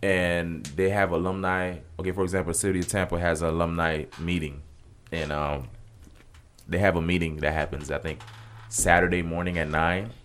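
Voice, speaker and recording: A man's voice: deep voice, little pitch movement, normal speed, a bit bright, slight background noise. A young man in his twenties co-hosting a casual podcast, telling loose slangy stories. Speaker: podcast co-host. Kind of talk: casual chat.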